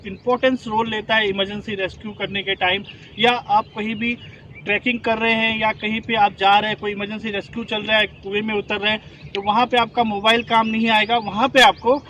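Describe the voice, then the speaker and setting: High-voiced man teaching a class outdoors. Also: bright, forceful tone, muffled recording, slight background noise. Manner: explains step by step, asks the class to confirm. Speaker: rescue trainer. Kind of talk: instructional demonstration.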